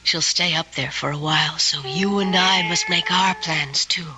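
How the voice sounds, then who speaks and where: A woman's voice: deep voice, fairly even intonation, moderate pace, background noise, natural voice. An actress playing a domineering young female drifter, sly and mocking, in theatrical old-time radio drama style. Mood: eerie, gloating. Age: young adult. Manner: theatrical, commanding, taunting.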